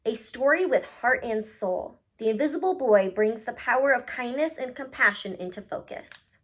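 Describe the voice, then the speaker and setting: A woman reading a picture book aloud to children, expressive and cutesy, talking directly to her young listeners. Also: a little high, normal speed. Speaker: read-aloud host, storyteller for children.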